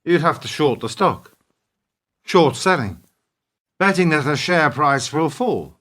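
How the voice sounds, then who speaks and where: A man's voice: fairly high pitch, animated, a little slow, fairly bright tone. A man acting in a radio play as an idealistic, indignant businessman, morally earnest and defiant, with an emphatic speechifying delivery. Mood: grim realization.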